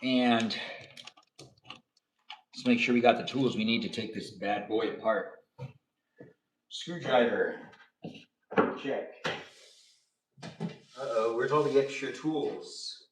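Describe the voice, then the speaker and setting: A man's voice: medium pitch, slow, long pauses. A man in his thirties hosting a casual livestream from his home kitchen, rambling playfully with sing-song asides while he moves gear and takes apart a desk. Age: 30s. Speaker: livestream host. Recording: roomy, distant camera audio.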